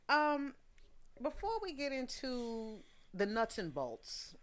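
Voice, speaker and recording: A woman's voice: high-pitched, very animated, takes it slowly, a loud crowd. A woman hosting a casual interview, asking blunt, playful questions and teasing her guest. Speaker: interview host.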